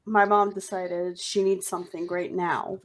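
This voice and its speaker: A woman's voice: on the low side, flat delivery, unhurried. A woman in her twenties chatting casually as a streamer, blunt and informal. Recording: clear background noise, clicks.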